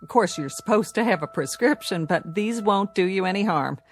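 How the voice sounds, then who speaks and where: An actress playing a calm, motherly waitress who helps a stranger in a roadside diner, in a classic television drama. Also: medium pitch, moderate pace, lightly acted. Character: kindly diner waitress, cook's wife. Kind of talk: 1960s television drama acting.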